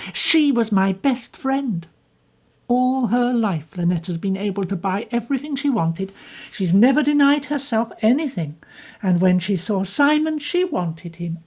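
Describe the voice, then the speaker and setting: A woman voicing a bitter, passionate young woman who speaks intensely and emphatically, with a husky, raised voice. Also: on the high side, darkish tone, voice pushed up.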